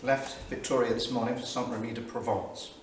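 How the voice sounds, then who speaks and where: Actor as a grieving diarist in an echoey theatre. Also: male voice, mid-range voice, little pitch movement, a little fast, natural voice. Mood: bleak. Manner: literary, solemn, emphatic. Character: grieving, bitter male academic keeping a 1938 journal. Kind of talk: staged dramatic reading of diary entries. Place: small studio theatre, staged reading. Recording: echoey theatre, distant mic, faint noise.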